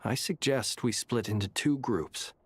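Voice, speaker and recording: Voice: medium pitch, a little slow, breathy edge, no echo. A voice actor playing a courteous, thoughtful adventurer in a video game, polite and level-headed.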